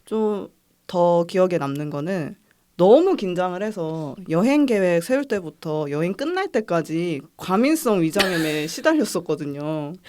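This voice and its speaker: A young woman hosting a podcast, chatting casually and presenting a film recommendation in a calm, neat way. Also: on the low side, moderate pace. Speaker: podcast host.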